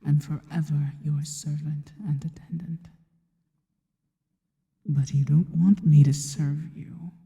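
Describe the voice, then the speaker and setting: A woman voicing a devoted, worshipful spider monster girl in a soft, breathy, intimate ASMR whisper, speaking slowly and reverently. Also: sits low, flat delivery. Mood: adoring, devoted, then possessive, teasing. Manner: slow, deliberate, reverent.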